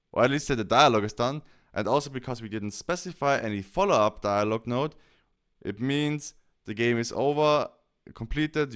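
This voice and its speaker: Male tutorial presenter, smooth clear voice, very varied intonation, informal step-by-step explaining. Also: medium pitch, fairly bright tone. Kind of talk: casual software tutorial narration, step by step.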